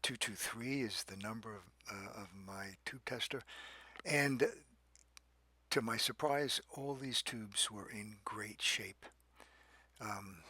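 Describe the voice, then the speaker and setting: A man narrating an unscripted how-to about a repair, speaking methodically and haltingly in short phrases with pauses between them. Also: lowish voice, pushed and bright, husky, no echo. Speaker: radio restorer and presenter.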